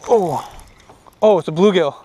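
A young man casually commenting while fishing from a small boat on a lake, easygoing and upbeat, getting excited over each catch. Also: high voice, a little slow, breathy edge. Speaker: fishing vlogger. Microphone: action camera on the boat.

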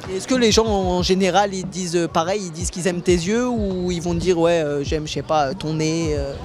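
A man's voice: sits high, fairly quick, a little mellow, slight background noise. A man in his twenties doing a casual street interview on a city street, chatty and prompting with follow-up questions into a handheld mic.

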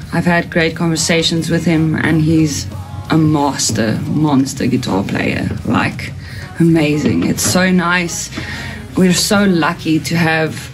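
A woman's voice: deep voice, rough recording. Earnest South African woman singer. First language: Afrikaans. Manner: earnest, hesitant with fillers. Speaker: band singer. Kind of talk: casual interview. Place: home studio room.

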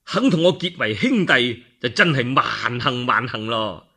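Man voicing a fawning, husky Cantonese governor. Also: medium pitch, pitched below the performer's own voice, full character voice. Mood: flattering, eager. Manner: flattering, deferential, drawn-out. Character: ingratiating, scheming male governor, smooth-talking and eager to please. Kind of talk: radio storytelling character.

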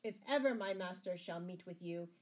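A woman's voice: lowish voice, moderate pace, darkish tone, close to an everyday voice. A woman playing a ringing, defiant captive outlaw. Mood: scornful. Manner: ringing, declamatory, archaic heroic speech. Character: defiant, proud, loyal young male outlaw captive.

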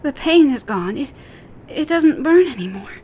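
A woman voicing a frightened young girl, high and tearful. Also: lively intonation, unhurried, dark tone, a little hiss or hum. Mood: relieved.

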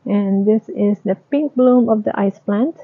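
Slow gravelly woman narrating a garden tour. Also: mid-range voice. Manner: slow, halting, plain descriptive talk. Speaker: home gardener giving a tour. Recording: dry, tinny phone-like sound.

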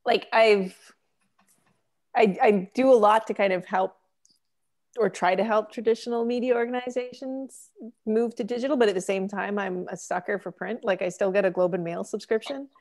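A young woman, a magazine editor, chatting casually on a video call through earbuds, with lots of fillers and quick laughs. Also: medium pitch, steady tone, darkish tone. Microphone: earbud headset on video call.

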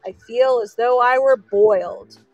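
A woman's voice: on the high side, measured pace, darkish tone, dry recording, highs cut off, soft background music. A woman voicing a very stout, friendly talking cabbage from a children's story, hearty and exclaiming. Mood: huffy, exasperated.

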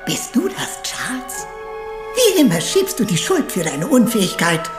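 A woman playing an imperious dead aunt speaking at a séance in a German crime radio drama, with slow, theatrical, accusing delivery. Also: fairly high pitch, huge pitch swings. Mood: scornful. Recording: echoing, with music underneath.

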